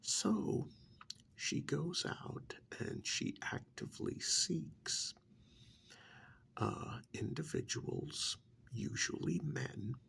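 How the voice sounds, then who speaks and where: A man acts out a menacing, smug servant of vampires in an ASMR roleplay. His soft voice is heavily creaky and half whispered. He talks very slowly and deliberately, with condescending, winding intonation that rises and dips. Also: fairly high pitch, expressive, a little hiss or hum, exaggerated voice. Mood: patient, explaining. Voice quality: breathy, gravelly half-whisper. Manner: slow, deliberate, condescending, with pauses. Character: smug, menacing vampire's male agent interrogating a captured hunter.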